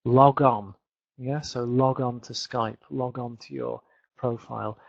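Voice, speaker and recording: Man in his 30s from Leeds with a Northern English accent, English teacher on a webinar, unhurried, dry close sound. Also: medium pitch, steady tone, creaky, no room sound. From England. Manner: chatty, repeats words, many fillers. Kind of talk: online webinar lesson. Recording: dull lo-fi webinar audio.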